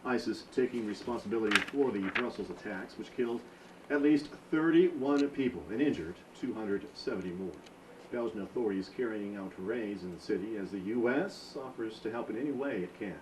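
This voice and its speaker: A man anchoring a local newscast, reading stories in a steady formal broadcast manner. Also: lowish voice, fairly quick. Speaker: news anchor. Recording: distant mic with noisy control room.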